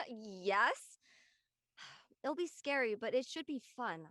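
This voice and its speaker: A level-headed young woman played by an actress speaks casually in an audio drama. Her voice is fairly high and recorded dry and close. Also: lively intonation, takes it slowly, bright, forceful tone, dry recording, exaggerated voice. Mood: sincere, hopeful. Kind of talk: casual scripted dialogue.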